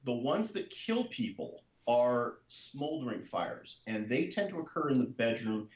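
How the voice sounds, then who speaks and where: A man who reports on real estate, answering fast and emphatically and repeating his points. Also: on the low side, roomy sound, poor audio. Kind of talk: interview answers.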